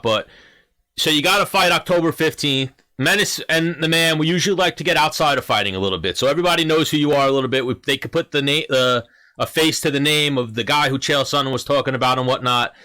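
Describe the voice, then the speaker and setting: Host type, man, bright voice, rambles and fills, easy banter. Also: on the high side, fast. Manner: chatty, rambling questions, filler-heavy. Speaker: podcast host. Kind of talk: casual chat.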